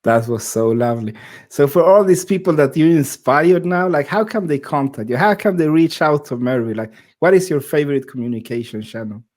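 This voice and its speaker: A man's voice: on the high side, brisk, darkish tone. A man who grew up in Sweden, hosting a casual video-call interview and speaking English in a chatty way, full of "like" and "you know". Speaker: host.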